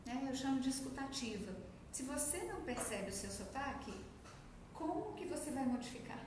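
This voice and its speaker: A woman's voice: high voice, very animated, brisk. A woman with a Minas Gerais accent, a speech therapist explaining her work as an interview guest, in a didactic way. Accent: Minas Gerais Brazilian Portuguese. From Brazil, Minas Gerais. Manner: didactic, acts out quoted remarks. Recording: very echoey room, faint noise.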